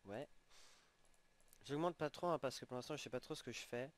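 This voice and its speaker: Man, young adult, head cold, casual game commentary, excitable asides. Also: mid-range voice, brisk. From French-speaking. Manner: chatty, exclaiming at in-game rewards. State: has a head cold, sniffling. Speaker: gaming channel host.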